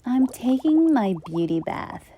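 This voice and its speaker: A woman's voice: on the high side, sing-song, measured pace, dull audio, a little hiss or hum. A woman voicing a neat, fussy, dainty female unicorn in a children's storybook. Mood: pleased. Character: neat, prissy female unicorn, proud of beauty. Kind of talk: children's storybook character acting.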